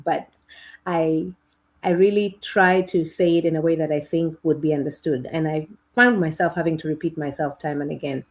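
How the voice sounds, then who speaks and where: Woman with a Kenyan accent, fairly low. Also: steady tone, moderate pace. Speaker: guest. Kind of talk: conversational interview.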